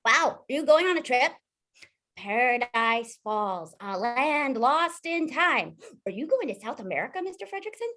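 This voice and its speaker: Casting: woman as chatty cartoon boy scout, high-pitched, animated, earnest and innocent. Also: normal speed. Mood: amazed, curious. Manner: earnest, wide-eyed. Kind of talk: amateur table read of an animated film script.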